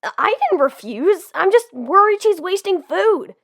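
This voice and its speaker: A woman's voice: extremely high pitch, lively intonation, measured pace. A young woman voicing a nervous, upbeat lead in a comic dub, with lots of fillers.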